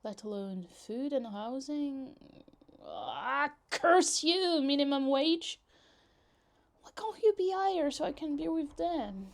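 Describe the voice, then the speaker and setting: Woman acting as a possessive young yandere woman, fairly high voice, clingy, dramatic, teasing, casual roleplay ASMR delivery. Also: sing-song, slow, gravelly, heavily acted. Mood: pining, obsessive, then bitter, jealous.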